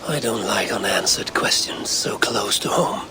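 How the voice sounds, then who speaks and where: An actor playing a brooding Viking clan leader in a video game, measured and solemn, as if murmuring to himself. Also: male voice, on the low side, whispered, close to an everyday voice. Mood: brooding, investigative. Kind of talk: video game cutscene acting.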